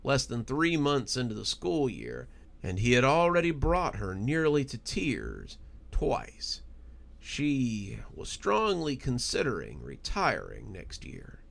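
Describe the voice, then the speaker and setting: A man narrating a horror story in a dramatic, expressive and deliberate storytelling style. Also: medium pitch, vocal fry.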